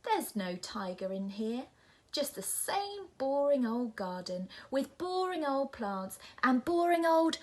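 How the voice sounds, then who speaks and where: A woman voicing a bored, stubborn young girl who insists loudly, in a breathy voice. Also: sits high, big pitch swings, slow, voice pushed up, full character voice. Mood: insistent. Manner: insistent, exasperated.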